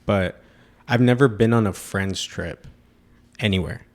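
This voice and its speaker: A young man in his twenties, co-hosting a podcast in a relaxed, rambling chat full of fillers and restarts. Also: lowish voice, unhurried. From Mexican heritage. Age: 20s. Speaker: podcast co-host. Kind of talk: casual chat.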